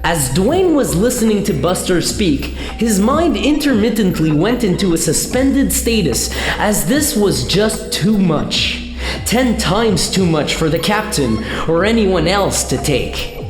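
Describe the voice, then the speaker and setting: Radio play narrator, female, animated delivery, heavy reverb over music. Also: medium pitch, unhurried. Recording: echoey long reverb, music behind.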